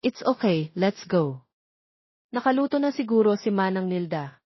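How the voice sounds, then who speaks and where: A woman voicing a gentle, caring young man in an audio drama, with a soft, measured manner. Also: medium pitch, lively intonation, slightly rough, no room sound, dull audio. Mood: calm.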